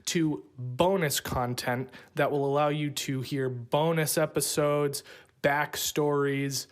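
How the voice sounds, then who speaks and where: A man reading a scripted appeal to listeners as the creator of a fiction podcast. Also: a little high.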